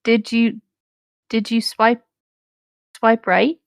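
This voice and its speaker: A woman's voice: fairly high pitch, little pitch movement, slow pace, creaky, background noise. A woman bantering casually and reading aloud with friends, in a playful, chaotic manner. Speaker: co-reader on a group creepypasta reading show. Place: online voice chat.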